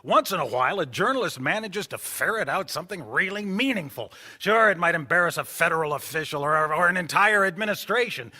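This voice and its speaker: A man's voice: sits high, expressive, brisk, hardly any pauses, pushed and bright, full character voice. An actor playing a principled, persuasive male newspaper editor in a radio drama. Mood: earnest. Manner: earnest, persuasive. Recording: long reverb.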